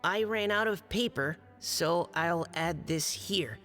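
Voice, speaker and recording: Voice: mid-range voice, slow, a bit bright, soft background music. An actor voicing a shy, nervous young knight boy in a video game, polite and hesitant, with a stammer.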